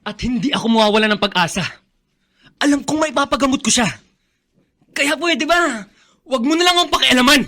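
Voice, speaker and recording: Bright, determined young Filipino son. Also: male voice, very high-pitched, brisk, long pauses. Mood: defiant. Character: determined, devoted young son, stubborn and emotional. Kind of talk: Filipino radio drama acting.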